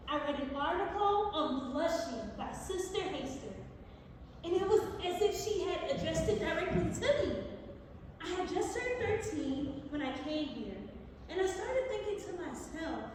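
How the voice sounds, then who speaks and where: A curious young teenage girl , acted by a woman, confides her diary in a halting, dreamy stage monologue. Her voice is extremely high and youthful. It carries from a distance through a reverberant hall with noticeable noise. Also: a little mellow. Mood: reflective. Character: confiding, curious thirteen-year-old diarist girl, dreamy and breathless. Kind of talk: theatrical monologue reading of a diary. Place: library district theatre stage. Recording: echoey theatre, distant mic, clear noise.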